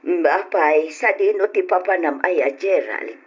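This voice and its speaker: A woman's voice: fairly low pitch, expressive, normal speed, husky. Elderly mother for radio drama: tender, caring, soothing; muffled lo-fi sound. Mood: caring, reassuring. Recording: dull lo-fi radio recording.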